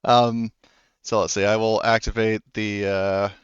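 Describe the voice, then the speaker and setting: A man's voice: lowish voice, flat delivery, unhurried, clear, resonant tone, dry recording, lo-fi sound. A man running a tabletop roleplaying game as game master, talking loosely with many fillers.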